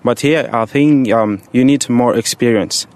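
A man's voice: mid-range voice, unhurried, darkish tone. A seventeen-year-old young man from Egypt answering interview questions in a casual, plain-spoken and hesitant way. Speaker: student on a referee course, interviewee.

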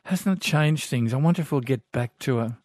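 Folksy Australian radio host, dry studio sound. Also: male voice, medium pitch, fairly quick, darkish tone, no echo. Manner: chatty, folksy, reads listener emails aloud. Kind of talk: talkback radio chat. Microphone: studio microphone.

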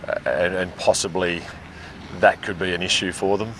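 A man's voice: low voice, flat delivery, a bit bright, slight background noise. A man, course coordinator of an auctioneering school, speaking plainly with fillers in an interview. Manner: plain-spoken, halting with fillers.

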